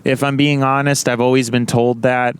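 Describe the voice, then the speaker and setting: A young man with a naturally loud voice, rambling casually to the camera with many restarts and self-corrections. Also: medium pitch, little pitch movement, normal speed, smooth. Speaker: vlogger.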